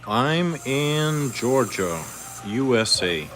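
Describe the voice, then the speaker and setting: A man's voice: mid-range voice, lively intonation, extremely slow, a bit bright, faint music behind. A man from Hungary with a Hungarian accent, chatting casually on a livestream while painting fishing lures in a workshop, answering viewer questions between tasks. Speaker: lure maker.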